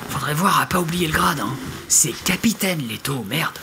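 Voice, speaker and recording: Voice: mid-range voice, expressive, moderate pace, creaky, noisy background. An actor playing a touchy, puzzled captain who insists on rank in an audio comedy serial. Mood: annoyed.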